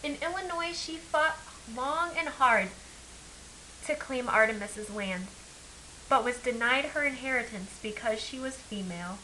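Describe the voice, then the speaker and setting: A woman reading a children's picture book aloud as an expressive storyteller. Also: on the high side, unhurried, rough recording. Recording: clear background hiss.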